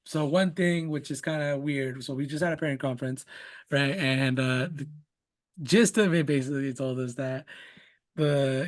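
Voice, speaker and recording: Chatty young man, fairly high voice. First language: Spanish. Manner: rambling, many fillers like "you know" and "like". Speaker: father talking about his young son. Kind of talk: casual conversational interview.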